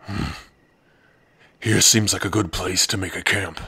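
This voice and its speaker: Male actor as a big gruff orc, very husky, slow-talking, teasing, soft-hearted underneath, ASMR roleplay. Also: deep voice, steady tone, no echo, heavily acted. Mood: gruff, commanding. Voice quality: gravelly, rough, husky. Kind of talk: ASMR roleplay addressed to the listener.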